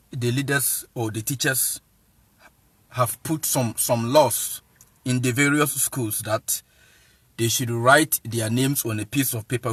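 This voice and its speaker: A man's voice: mid-range voice, fairly bright tone, breathy edge, no room sound. A man with a Ghanaian accent giving an informal lecture to viewers in an emphatic, repetitive way, phrase by phrase. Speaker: online evangelist and teacher.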